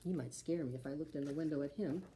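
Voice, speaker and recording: A middle-aged woman hosting a preschool storytime, reading and talking to young children in an expressive, sing-song way. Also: low voice, quick pace, slight background noise, poor audio. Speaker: library storytime host for preschoolers. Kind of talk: children's storytime, singing and reading a picture book aloud.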